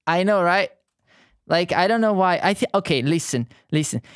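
A man's voice: sits high, expressive, dry recording, clean studio sound. A man in his thirties with a southern Italian accent, whose first language is Italian, chatting casually on an art live stream and often restarting his sentences. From Italy. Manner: halting, frequent restarts and fillers, friendly. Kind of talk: casual live-stream chat while painting.

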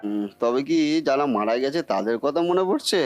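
A man's voice: medium pitch, animated, fairly quick, close to an everyday voice. A man voicing a bumbling, boastful cartoon husband who cannot cook, muttering theatrically to himself. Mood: baffled, fretful. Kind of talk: comic Bengali cartoon acting.

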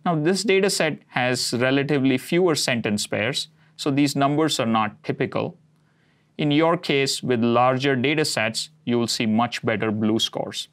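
Man calmly walking through a tech demo. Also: medium pitch, moderate pace. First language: Konkani. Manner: steady explanatory, occasional "uh" fillers. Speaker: cloud product presenter. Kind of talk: scripted tech demo narration. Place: studio set with map backdrop.

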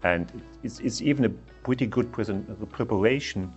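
A middle-aged man speaking in an interview in a bright lounge, thoughtful and dropping "you know" now and then. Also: on the low side, moderate pace, lo-fi sound, soft background music. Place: bright lounge with plants, indoors.

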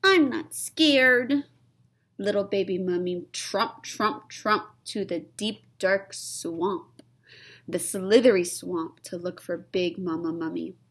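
A young woman reading a children's storybook aloud, expressive and suspenseful, stretching key words and dropping toward whispers. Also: fairly low pitch, slow, husky. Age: young adult. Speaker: read-aloud storyteller, teacher. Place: small classroom, indoors.

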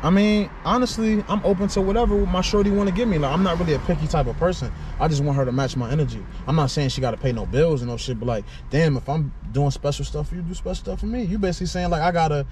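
Fast-talking young man in a car. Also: on the high side. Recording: outdoor noise, mic at a distance.

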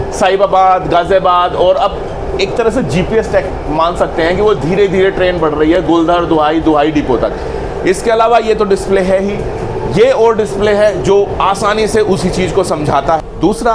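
A middle-aged man, explaining things to viewers in a casual, conversational travel vlog. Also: on the high side. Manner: conversational, demonstrative, addresses viewers directly. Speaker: vlog host. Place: on a moving train and around stations. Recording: clearly noisy train and station ambience. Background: train and vehicle noise.

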